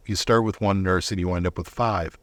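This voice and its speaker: A man's voice: sits low, fairly even intonation, fairly quick, fairly bright tone, dry recording. A middle-aged man telling personal stories as an interview guest, casual and reflective, often restarting his thoughts.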